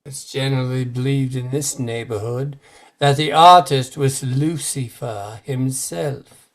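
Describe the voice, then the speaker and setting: An actor playing a grave, formal man who recounts a dark legend with deliberate drama, in old-time radio horror style. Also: mid-range voice, close to an everyday voice. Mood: mysterious.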